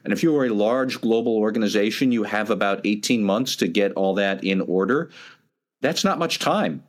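A man co-hosting a podcast, explaining a topic in a steady, slightly wry conversational way. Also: lowish voice, moderate pace. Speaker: podcast co-host. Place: at a conference.

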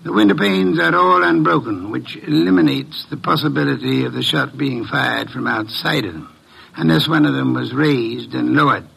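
Gravelly, imperious male detective. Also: mid-range voice, expressive, a bit bright, exaggerated voice, low-quality recording. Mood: probing, brisk. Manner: precise, pompous, deliberate. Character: shrewd, imperious, pedantic middle-aged male private detective. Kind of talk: old-time American radio detective drama acting.

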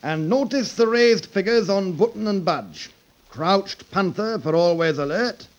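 A proud old-England fire chief with a notably high voice. He instructs in a ceremonious, fatherly way, as in classic radio drama, on a muffled old broadcast recording. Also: expressive, a little slow.

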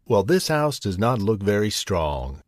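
A man's voice: on the low side, sing-song, measured pace, dry recording, studio quality. A man voicing a hungry big bad wolf in a storybook, growling and greedy. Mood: menacing.

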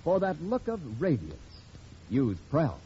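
A male announcer from vintage radio, selling with polished emphasis. His mellow, fairly high voice rises and falls freely on a muffled old recording. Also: wildly swooping pitch, measured pace, slight background noise. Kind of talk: 1940s scripted radio advertising.

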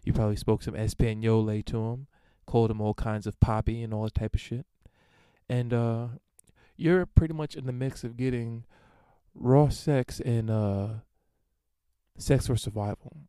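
A man's voice: medium pitch, fairly even intonation, normal speed, warm tone, smooth, dry recording. A man hosting a solo podcast, reading and answering listener emails in a crude, irreverent, rambling way with plenty of fillers. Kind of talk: casual solo monologue.

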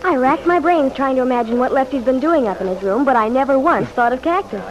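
A woman's voice: high voice, expressive, fast talker, dull audio, crowd noise. An actress playing a perky, playful young married woman who teases, in an old-time radio serial. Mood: enthusiastic. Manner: bright-spirited, playful. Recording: noisy vintage radio broadcast.

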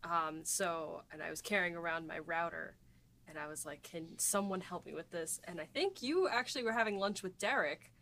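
A woman's voice: fairly low pitch, moderate pace, a bit bright. A woman in her twenties co-hosting a podcast, chatting casually and telling anecdotes among friends. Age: 20s. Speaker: podcast host. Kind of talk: casual chat, personal anecdote.

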